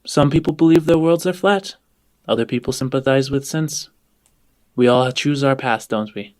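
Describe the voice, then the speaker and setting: A man voicing a judgmental, coolly polite woman who runs an orphanage, in a measured, condescending way, in a tabletop game. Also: mid-range voice, lightly acted.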